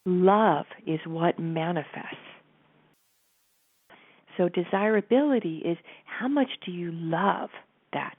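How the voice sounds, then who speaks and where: A woman with a stuffy nose, calmly guiding listeners through an exercise from her office and speaking directly to them. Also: on the low side. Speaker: host and coach. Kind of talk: guided teaching talk. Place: home office. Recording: narrow phone-like line.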